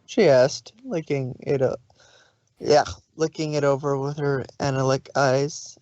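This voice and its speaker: A woman narrating fan fiction as an expressive story reading. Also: a bass voice, measured pace, no room sound. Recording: slightly dull home recording.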